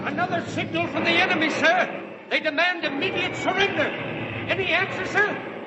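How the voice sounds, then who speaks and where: Very high-pitched urgent messenger. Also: male voice, steady tone, moderate pace, a loud crowd. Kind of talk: 1940s radio drama acting. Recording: echoey, very noisy 1940s radio recording.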